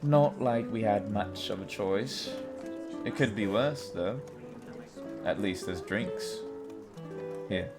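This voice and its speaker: A man's voice: on the low side, slow pace, pauses often, over loud music. An actor playing an easygoing young man in an audio drama, bantering in a laid-back, teasing way. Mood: teasing, relaxed.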